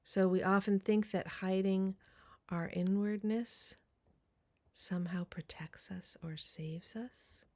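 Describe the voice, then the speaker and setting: Female meditation guide, very slow and gentle, mellow tone with a touch of fry, soft near-whispered phrasing. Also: medium pitch, steady tone.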